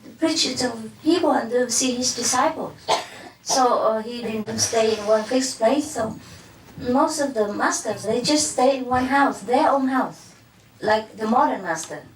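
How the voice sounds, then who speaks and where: A woman's voice: on the high side, unhurried, poor audio. A woman with a Vietnamese accent gives a loose, rambling spiritual talk, often checking in with her listeners and chuckling as she goes. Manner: rambling, with frequent checks like "you know" and "huh?"; chuckles often while talking. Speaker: spiritual teacher. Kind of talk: informal spiritual lecture.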